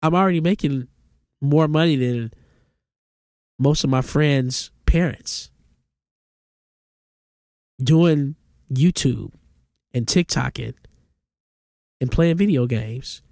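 A man's voice: medium pitch, takes it slowly, vocal fry, pauses often, darkish tone, dry recording, studio quality. A congested man in his forties hosting a solo podcast, giving emphatic, opinionated commentary. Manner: opinionated, emphatic, rising to exclamations. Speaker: podcast host.

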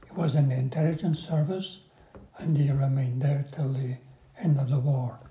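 A man in his seventies, from Northern Ireland, recalling his life story slowly with pauses in a casual interview. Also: mid-range voice, steady tone, warm tone, echoey room. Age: 70s. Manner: unhurried, halting recollection with pauses. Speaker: interview guest.

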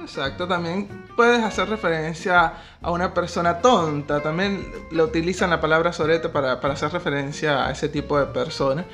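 A man's voice: a little high, moderate pace, few pauses, a bit bright, soft background music. A man from Venezuela with a Venezuelan accent, explaining things in a casual chat and asking questions. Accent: Venezuelan Spanish.

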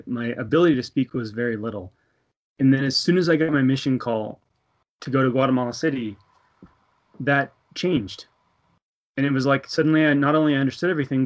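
Earnest guy in his 30s telling a faith story, laughs a bit, does the other people's voices. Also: mid-range voice. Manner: earnest storytelling, laughs between thoughts, acts out dialogue. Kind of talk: casual religious discussion.

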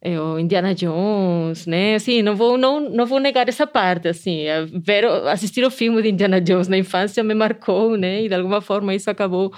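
A woman's voice: mid-range voice, fairly quick, few pauses, darkish tone. A middle-aged woman professor with a Spanish accent, speaking Portuguese as a second language, answering interview questions thoughtfully, with frequent restarts and tag questions. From Spain. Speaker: anthropology professor.

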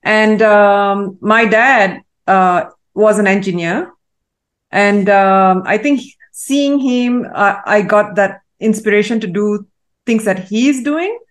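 A woman from Malaysia, a guest in an interview, answering in a thoughtful, measured way with frequent pauses and fillers. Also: mid-range voice. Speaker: guest, AI consultant.

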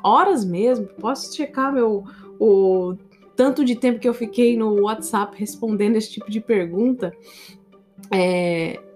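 A woman English teacher with a Brazilian Portuguese accent, hosting a casual solo podcast in a chatty, animated way and often speaking straight to her listeners. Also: medium pitch, unhurried, soft background music.

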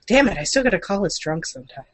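A woman co-hosting a podcast in playful, slangy chat, her voice deepened from being sick. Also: very animated, fast, a bit bright, no room sound. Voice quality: deep for a woman. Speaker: podcast co-host. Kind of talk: casual chat.

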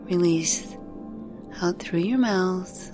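A woman guiding a meditation in a gentle, soothing, unhurried way, leaving long pauses between phrases. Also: lowish voice. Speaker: meditation guide. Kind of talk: guided meditation narration. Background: music.

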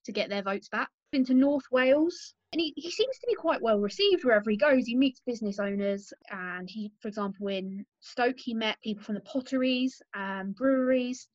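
A British woman hosts a political podcast in a fairly high voice. The recording is roomy and a little muffled, with an informal discussion style. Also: lively intonation, normal speed, few pauses. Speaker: podcast host.

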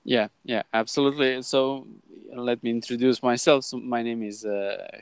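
A man in his thirties from Croatia with a Croatian accent, hosting a casual podcast chat in halting English with frequent restarts. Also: medium pitch, steady tone, smooth. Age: 30s. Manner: halting, many restarts and fillers. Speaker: podcast host.

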